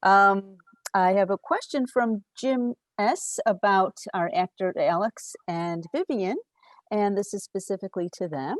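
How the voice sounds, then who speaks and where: A woman's voice: medium pitch, measured pace, dry recording. A woman organizer reading audience questions aloud over a video call. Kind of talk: casual panel talk on a video call.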